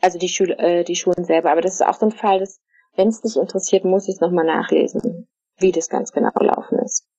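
A middle-aged woman from Germany, answering interview questions in a casual, thoughtful way, with many fillers and pauses. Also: lowish voice, steady tone, dark tone, slightly rough, dry recording. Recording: dull, dry lo-fi recording.

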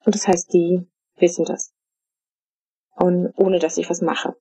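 A middle-aged woman from Germany, answering interview questions in a casual, thoughtful way, with many fillers and pauses. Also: fairly low pitch, flat delivery, warm tone, husky, no echo. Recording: dull, dry lo-fi recording.

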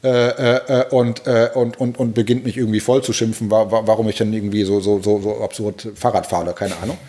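Halting German man in his forties. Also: on the low side, level tone, warm tone. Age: 40s. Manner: halting, many restarts and repeated words. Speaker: pastor. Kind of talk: reflective personal storytelling.

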